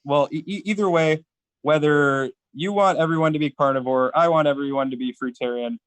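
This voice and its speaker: A man speaking as a guest in a casual live debate, halting and somewhat defensive as he makes his case. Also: a little high, smooth, no room sound.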